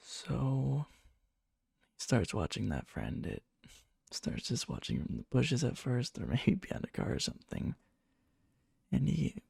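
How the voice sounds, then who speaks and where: A man playing a needy yandere young man confessing love to a friend in a hushed, halting ASMR roleplay, often slipping into a whisper, breathy and gravelly. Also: mid-range voice, no echo, studio quality, full character voice. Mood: uneasy, infatuated. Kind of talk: ASMR boyfriend roleplay, soft intimate storytelling. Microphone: close mic, dry.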